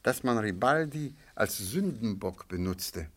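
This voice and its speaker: An actor playing a shrewd amateur sleuth in a radio play, speaking in a measured, politely ironic way. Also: male voice, fairly low pitch, expressive, fairly bright tone. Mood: quietly triumphant.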